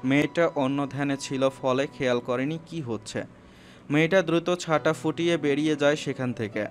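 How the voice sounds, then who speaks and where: A man's voice: a little high, fairly even intonation, a little fast. A man narrating a scripted romantic story, with music playing underneath.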